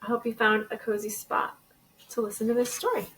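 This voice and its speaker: A woman teacher reading a children's storybook aloud in an expressive way. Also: a little high, a little fast, a little hiss or hum, poor audio. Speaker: elementary school teacher.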